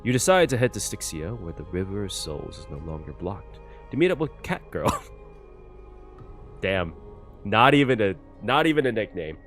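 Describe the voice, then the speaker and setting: A man with a fairly low voice gives casual reaction commentary on a game. His delivery swings widely and expressively, with offhand swearing, over game music. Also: very animated, moderate pace, a bit bright. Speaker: gaming reaction streamer. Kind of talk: casual game commentary, reading story text aloud.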